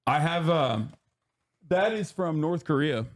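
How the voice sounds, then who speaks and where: A man's voice: fairly high pitch, expressive, takes it slowly. A man hosting a livestream in casual, joking chat, swearing and speaking straight to his chat. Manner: joking, profane, talks to chat. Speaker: streamer host.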